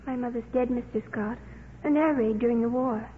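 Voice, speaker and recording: Solemn little girl voiced by a female performer, high voice, precise and scholarly, 1950s radio play with tinny, narrow sound. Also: a little fast, a little hiss or hum, natural voice. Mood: quietly solemn. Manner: earnest, precise, bookish. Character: solemn, precocious little girl, a scientist's daughter. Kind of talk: 1950s radio drama acting.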